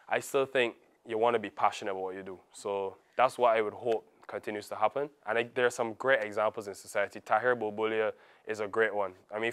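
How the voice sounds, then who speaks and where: A man's voice: fairly low pitch, a bit bright, clear, resonant tone. A man from Barbados with a Barbadian accent, speaking reflectively as an interviewee in a documentary.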